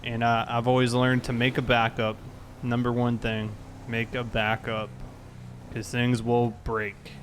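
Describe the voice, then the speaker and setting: A man's voice: medium pitch, monotone, measured pace, slight background noise. Easygoing man chatting on a game stream. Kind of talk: casual livestream chat while playing police roleplay.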